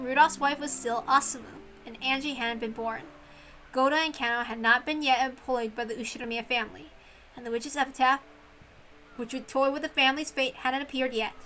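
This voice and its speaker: A woman's voice: high voice, bright, forceful tone, low-quality recording. A woman reading a visual novel aloud in a dramatic storytelling style. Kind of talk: dramatic story narration with character voices.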